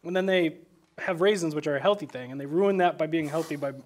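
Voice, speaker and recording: A man's voice: on the high side, quick pace, a crowd in the background. A man chatting casually about a film as a guest, explaining things in a loose, rambling way. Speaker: podcast guest, graphic designer.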